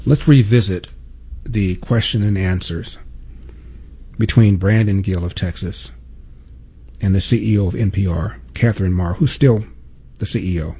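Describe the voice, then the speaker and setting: A man's voice: sits low, normal speed, long pauses, warm tone, husky. A man hosting a talk show, delivering an emphatic opinion monologue full of repeated points and rhetorical questions.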